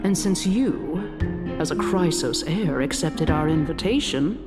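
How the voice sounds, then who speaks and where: A scheming noblewoman from a fantasy game. She speaks in a somewhat low register, poised and courtly with theatrical politeness. Loud music runs behind her voice. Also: expressive, takes it slowly. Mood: calculating resolve. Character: silky, scheming noblewoman council leader. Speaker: voice actor. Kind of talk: scripted fantasy game dialogue.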